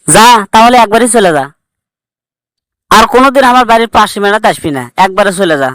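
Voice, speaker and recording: A man's voice: high voice, lively intonation, a little fast, a bit bright, smooth, dry recording. A man playing a bossy, bickering village husband in a comic cartoon, with a northern Bangladeshi accent. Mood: scolding, bossy. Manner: scolding, blunt.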